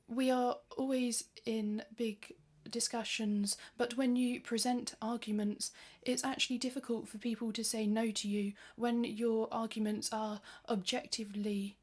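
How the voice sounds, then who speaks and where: Flat-voiced female interpreter, slightly high. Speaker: interpreter for a panelist. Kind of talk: simultaneous interpretation.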